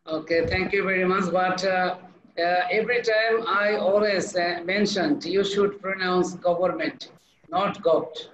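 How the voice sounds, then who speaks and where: A man's voice: sits high, steady tone, unhurried, fairly bright tone. A man with a Bangladeshi accent, a teacher moderating an online discussion and introducing the speakers. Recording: echoey, muffled, noisy call.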